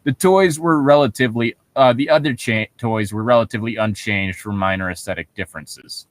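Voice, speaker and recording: A man co-hosting, reading internet horror stories aloud with casual commentary in a halting, self-correcting manner. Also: medium pitch, no room sound.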